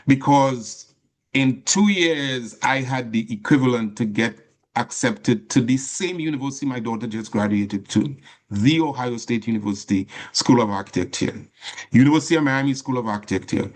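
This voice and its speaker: Middle-aged trinidadian guy, earnest guest talk, leans on words slowly, keeps restarting, mid pitch. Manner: earnest, emphatic, with frequent restarts and slow stressed phrases. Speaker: guest speaker. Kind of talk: personal motivational talk.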